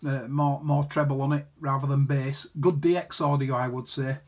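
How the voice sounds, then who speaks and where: Husky-voiced man chatting on ham radio. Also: medium pitch, level tone, moderate pace, darkish tone. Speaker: amateur radio operator. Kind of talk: casual ham radio chat. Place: indoors at his radio set.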